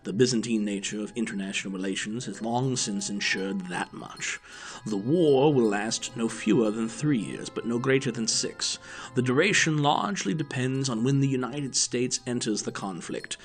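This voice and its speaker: A man's voice: medium pitch, normal speed, soft background music, lightly acted. A man playing an aloof, analytical detective in an audio drama, lecturing in a detached, precise way.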